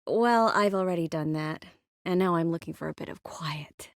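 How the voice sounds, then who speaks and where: An actress playing a poised, caring yet firm sorceress in scripted video game dialogue. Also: female voice, fairly low pitch, moderate pace, no room sound, clean studio sound, natural voice. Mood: cool, frank.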